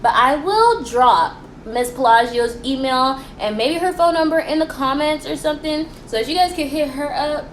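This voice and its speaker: Young American woman, student history host. High voice, informal and playfully theatrical, echoey room with faint noise. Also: moderate pace, hardly any pauses. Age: young adult. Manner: playful, theatrical, slangy, with rhetorical questions. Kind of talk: chatty, informal history presentation for a school project.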